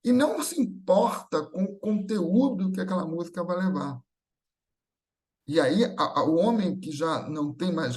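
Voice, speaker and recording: A man's voice: fairly high pitch, darkish tone. A man with a Brazilian accent giving a conversational, explanatory religious talk, informal and often checking in with rhetorical questions. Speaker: speaker at a Spiritist gathering.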